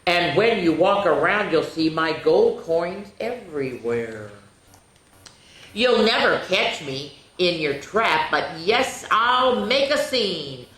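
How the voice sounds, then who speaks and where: A woman's voice: fairly low pitch, animated, slow pace, fairly bright tone, roomy sound, low-quality recording. A woman voicing a cheeky, boastful little male leprechaun in sing-song rhyme, reading a children's picture book aloud. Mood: mischievous boasting. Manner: sing-song rhyming taunts.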